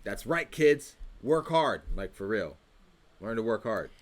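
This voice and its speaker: A young man with a fairly low voice hosts a casual quiz. He talks in a laid-back, slangy way and teases his co-host. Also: very animated, a little slow, a little hiss or hum. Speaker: co-host of a church youth show. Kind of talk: casual chat, quiz hosting.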